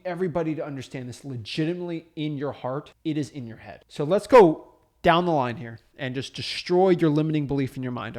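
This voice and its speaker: A young man coaching listeners on real estate wholesaling in an emphatic, energetic teaching talk, often checking in with "right?". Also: medium pitch. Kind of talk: motivational teaching talk to camera.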